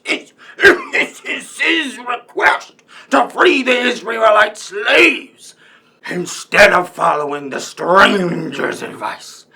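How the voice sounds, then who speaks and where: Gravelly, cantankerous old colonel. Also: male voice, high-pitched, bright, forceful tone, full character voice. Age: older. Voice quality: gravelly, rough. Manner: blustering, stammering, old-fashioned. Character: cantankerous hard-of-hearing old colonel with short temper. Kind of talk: radio play acting.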